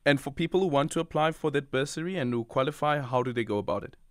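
A man's voice: fairly high pitch, quick pace, dry recording, clean studio sound. A South African man hosting an interview in a conversational way. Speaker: interviewer, host. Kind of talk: conversational broadcast interview questions.

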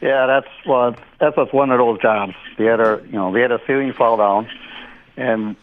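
A man's voice: mid-range voice, moderate pace, phone-quality sound, a little hiss or hum. A man who owns a contracting company rambles through a job story on the radio, with lots of "you know". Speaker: contractor, company owner and show regular.

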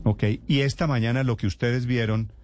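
A man hosting a news interview, asking direct, brisk questions. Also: medium pitch, steady tone, darkish tone, slight background noise. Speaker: radio news host.

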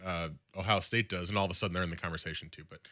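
Bright-voiced male sports radio host. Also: low voice, steady tone, no echo, clean studio sound. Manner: quick, conversational, trailing off into filler words. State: slightly raspy from recent illness. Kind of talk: casual sports radio chat. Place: radio studio.

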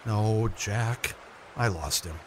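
A man's voice: fairly low pitch, takes it slowly. A man playing a patient, encouraging grown-up teaching a child to fish in a radio play. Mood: disappointed. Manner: patient, coaching. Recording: clear mechanical and outdoor noise.

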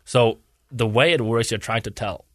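A man's voice: medium pitch, normal speed, dry recording, clean studio sound. A young man from Czechia speaking English as an in a casual interview, searching for words and rambling earnestly. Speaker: guest, hockey player.

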